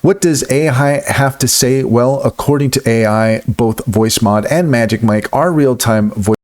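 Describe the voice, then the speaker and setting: A man's voice: medium pitch, measured pace, darkish tone. A man hosting a casual tutorial-style review, partly reading aloud from notes as he narrates. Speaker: video host and software reviewer.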